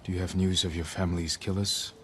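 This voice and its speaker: A man acting a stoic young samurai lord in a video game cutscene, speaking in a measured, grave, terse way. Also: sits low, little pitch movement, noisy background, close to an everyday voice. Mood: grave, steady.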